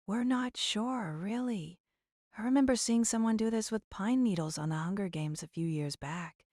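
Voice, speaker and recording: A woman's voice: medium pitch, normal speed, a little mellow, no room sound, clean studio sound, close to an everyday voice. A woman playing a weary, earnest runaway fugitive in an audio drama.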